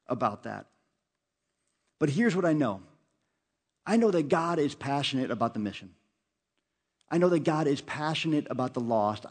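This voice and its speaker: A male pastor preaching an earnest sermon, urging listeners with repeated phrases. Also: medium pitch.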